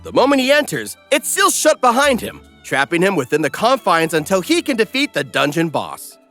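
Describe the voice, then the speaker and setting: Bright, animated comedic recap narrator, male. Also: high-pitched, normal speed, soft background music. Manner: wisecracking, animated. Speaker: narrator, host.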